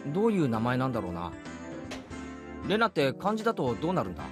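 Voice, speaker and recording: A brash Japanese schoolboy from an anime game, talking very fast with a lively, swinging pitch. He is casual, blunt and teasing, with music behind him. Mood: puzzled. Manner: casual, boyish, blunt speech. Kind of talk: visual novel voice acting.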